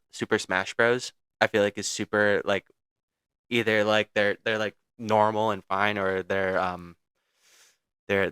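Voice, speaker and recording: A young man in a casual podcast chat, lowish and smooth, recorded dry and close. He talks excitedly and leans on fillers. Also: no room sound. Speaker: podcast co-host.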